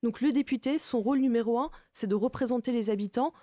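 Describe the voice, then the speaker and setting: A woman answers as a political guest in an interview. Her voice is soft-edged and relaxed, a little high. She explains in an orderly, teaching manner, in a dry, close recording. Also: quick pace, no echo. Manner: didactic, structured, enumerating points.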